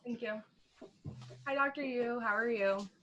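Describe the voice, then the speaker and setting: A woman's voice: a little high, unhurried, sharp tone, lo-fi sound. Woman, podcast interviewer, casual, loosely worded questions.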